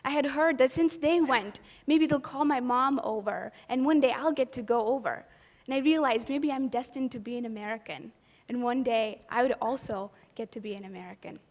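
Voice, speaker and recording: An earnest woman from Pakistan tells her own story to an audience at an event and poses rhetorical questions along the way. Her voice sits somewhat high. A long reverb from the hall trails after her words. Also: moderate pace, few pauses. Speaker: event speaker. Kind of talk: personal storytelling talk.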